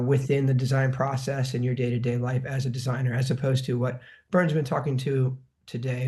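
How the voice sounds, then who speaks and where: A man with a sore throat wrapping up a webinar as its host, giving information about the program in a steady way on a video call. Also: medium pitch. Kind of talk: closing remarks to a webinar.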